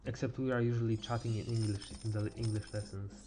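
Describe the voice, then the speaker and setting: A teenage male game streamer thinking aloud in casual live chat, halting and stumbling over words as he goes. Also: fairly low pitch, steady tone, over music. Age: teens.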